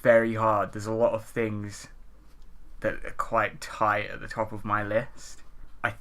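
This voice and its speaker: Bright, low-voiced man, blunt and sweary. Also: monotone, unhurried. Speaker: podcast co-host. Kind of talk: casual chat, counting down favourite films.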